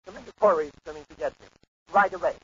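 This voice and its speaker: Secretive nervous tipster, American, quick delivery, muffled vintage radio drama recording. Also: male voice, fairly high pitch, pushed and bright, slight background noise. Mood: secretive, urgent. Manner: hurried, secretive. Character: furtive, nervous anonymous male tipster on a call. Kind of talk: 1950s radio serial acting.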